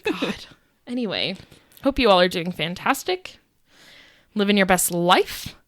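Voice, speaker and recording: A young adult woman co-hosting a podcast in casual chat. She talks loudly, laughs often and fills her talk with "like" and "um". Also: mid-range voice, expressive, a little slow, no echo, studio quality. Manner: loud, laughs often, chatty with fillers. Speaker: podcast co-host. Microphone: podcast microphone.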